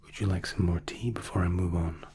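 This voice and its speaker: A man performing a whispered ASMR spa roleplay as a therapist, gentle, soothing and softly reassuring. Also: low voice, fairly even intonation, a little fast, gravelly, a little hiss or hum.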